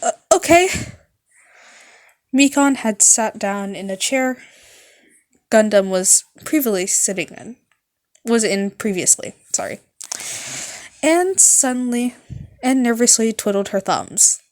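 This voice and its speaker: Amateur story narrator, female, slow halting read, fairly high voice. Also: expressive, darkish tone. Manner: halting read with stumbles and self-corrections. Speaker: narrator, fan fiction reader.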